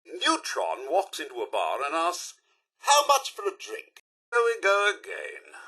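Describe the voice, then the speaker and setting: A man's voice: on the high side, wildly swooping pitch, a little slow, pushed and bright, breathy edge, full character voice. A man voicing a polite, fussy robot butler for a video game, with a British accent and a posh, theatrical, formal manner. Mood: jokey. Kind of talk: video game character voice lines.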